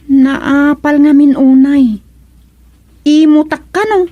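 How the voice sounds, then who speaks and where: A woman's voice: high voice, steady tone, slow, warm tone, dry recording, muffled recording. A woman acting a touchy, complaining married villager in an Ilocano radio comedy drama. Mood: exasperated.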